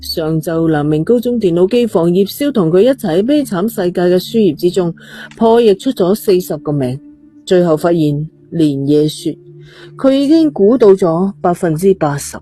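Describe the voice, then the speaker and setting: A woman's voice: fairly low pitch, lively intonation, moderate pace, mellow, faint music behind. A woman, narrating a scripted thriller story. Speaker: audiobook narrator.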